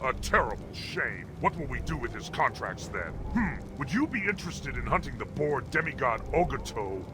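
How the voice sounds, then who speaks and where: Man voicing a lively orc guild master. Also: medium pitch, moderate pace, few pauses, noisy background, natural voice. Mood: persuasive. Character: hearty scheming orc hunting guild master. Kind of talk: video game character dialogue.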